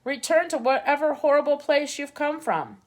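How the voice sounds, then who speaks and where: A woman reading aloud, voicing a small, frightened male weasel with a squeaky voice. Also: expressive, moderate pace. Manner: squeaky, shrill.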